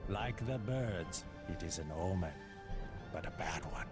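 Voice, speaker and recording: A man playing a dutiful, serious ship's captain in a video game cutscene, speaking in a measured, concerned way. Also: low-pitched, animated, over music. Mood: grave.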